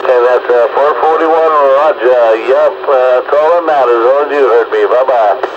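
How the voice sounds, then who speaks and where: A man with a mid-range voice calls in on a CB radio under very loud static. The sound is muffled, and he talks casually, halting and repeating himself. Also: medium pitch. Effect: two-way radio transmission. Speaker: CB radio caller.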